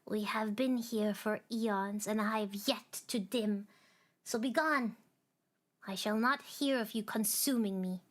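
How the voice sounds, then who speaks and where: A woman's voice: fairly high pitch, takes it slowly. A woman playing a defiant little star. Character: a small, lonely, defiant star, young and headstrong.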